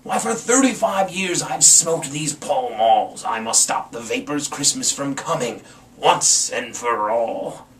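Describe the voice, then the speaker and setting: A man's voice: mid-range voice, expressive, slow, fairly bright tone. A man voicing a sour, scheming old Grinch creature in a dramatic storybook reading, with a snarling, sneering delivery. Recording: distant mic, some background noise.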